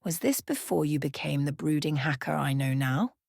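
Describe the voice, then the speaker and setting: A woman's voice: deep voice, animated, moderate pace, vocal fry, darkish tone, no room sound, studio quality, full character voice. A woman voicing an ancient female vampire in a bedtime story, sly, playful and teasing. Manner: teasing, mischievous.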